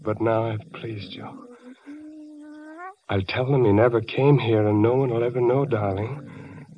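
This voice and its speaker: An actor playing an obsessed, unravelling husband, swinging from hushed pleading to frantic outbursts, in a 1940s radio drama. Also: male voice, medium pitch, fairly even intonation, measured pace, close to an everyday voice. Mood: hushed obsessive. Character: obsessed, unravelling husband, middle-aged man. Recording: dull old radio broadcast.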